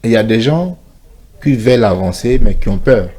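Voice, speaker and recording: A man's voice: mid-range voice, lively intonation, moderate pace, dark tone. A man co-hosting a morning TV show, giving earnest advice in a casual studio chat and slipping into Lingala.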